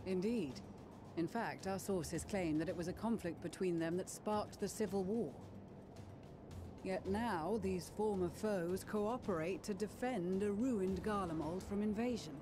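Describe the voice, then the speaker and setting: Composed military commander, acted, formal measured delivery, medium pitch, fantasy game briefing, musical backing. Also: over music, natural voice. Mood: composed, resolute. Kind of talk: scripted game dialogue.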